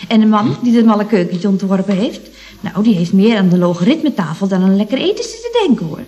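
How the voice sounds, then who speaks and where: A woman's voice: medium pitch, sing-song, quick pace, mellow, lightly acted. A woman acting a chatty, breezily teasing secretary at a building firm in a radio comedy, with a gushing, mock-cosy manner. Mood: breezy teasing.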